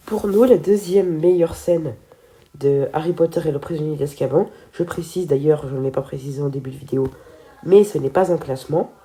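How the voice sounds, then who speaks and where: Teen girl host with a stuffy cold; low, mellow voice, chatty and a bit stumbling. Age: teens. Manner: chatty, trips over words and restarts. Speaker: film video co-host. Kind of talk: casual video commentary.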